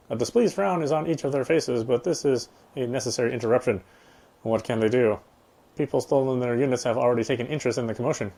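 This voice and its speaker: A man's voice: mid-range voice, warm tone. A man reading game text aloud as a player, in the style of a let's play with casual commentary.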